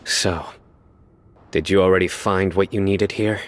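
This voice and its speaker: An actor playing a stern, unyielding young judge-warrior in a video game, terse, grave and resolute in delivery. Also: male voice, deep voice, fairly even intonation, measured pace, a little hiss or hum. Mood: grave resolve. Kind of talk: dramatic video game voice acting.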